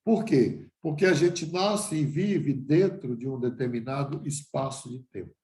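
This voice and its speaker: A man's voice: mid-range voice, measured pace, mellow, echoey room. An older man giving a reflective lecture to a class, asking himself questions and restarting phrases.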